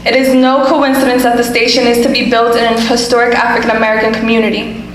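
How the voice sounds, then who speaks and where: A woman's voice: fairly high pitch, completely flat delivery, fast talker, a little hiss or hum. A young woman, a student giving a firm, insistent prepared comment at a public hearing. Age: young adult. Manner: firm, insistent, reading a statement. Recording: echoey hall with faint ambience.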